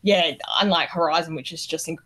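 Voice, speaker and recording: Woman, slightly low voice, casual podcast banter, blunt and informal. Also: steady tone, a little fast, a bit bright. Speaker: gaming podcast co-host. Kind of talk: casual chat, anecdotes and opinions.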